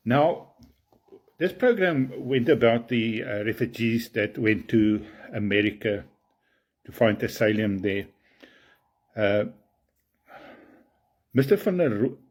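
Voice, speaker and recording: A man from South Africa with an Afrikaans accent, speaking English as a second language in a halting, indignant monologue full of fillers. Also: medium pitch, a little mellow. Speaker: video commentator. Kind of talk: casual monologue to camera.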